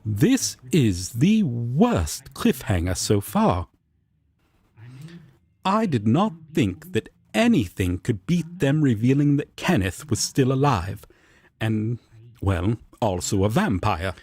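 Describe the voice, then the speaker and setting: A man playing a polite, earnest, excitable angel roommate in an audio drama, with a husky voice and formal, courteous phrasing. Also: medium pitch, takes it slowly, no room sound, heavily acted. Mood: indignant, invested. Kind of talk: roleplay audio drama, comfort scene.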